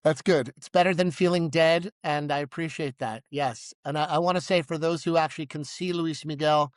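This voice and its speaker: Host: man, fairly high voice, dry close recording, upbeat and emphatic interviewer. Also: fairly even intonation, normal speed, no echo. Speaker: podcast host. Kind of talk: podcast introduction and interview.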